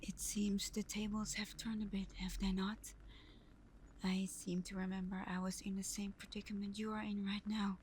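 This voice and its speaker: A woman acts out a tender vampire girlfriend in an intimate ASMR roleplay. Her voice is a soft, breathy whisper that moves slowly and stays on a very even pitch. There is some background noise around her gentle, devoted words. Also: lightly acted. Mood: affectionate, teasing.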